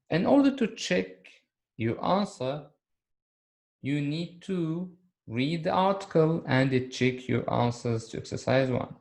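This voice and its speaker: A man teaching an English lesson on video, speaking slowly and repeating key words for his students. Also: a little high.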